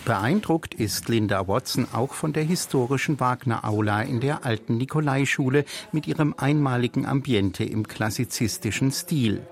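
A man's voice: mid-range voice, normal speed, creaky, hardly any pauses. Man reading a radio report. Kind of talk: scripted radio report narration.

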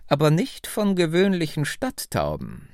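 A man's voice: fairly high pitch, huge pitch swings, darkish tone, no echo, studio quality, voice pushed up, heavily acted. A man voicing a wry old woman nearly a hundred. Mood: teasing. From United States. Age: about 99. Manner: slow, drawn-out storytelling with teasing questions. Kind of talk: audiobook reading.

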